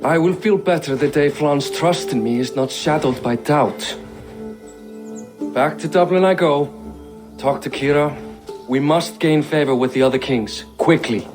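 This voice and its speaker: A man's voice: a little high, measured pace, natural voice. An actor playing a brooding, resolute Norse king with a grave, clipped delivery. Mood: brooding, urgent. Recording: music under dialogue.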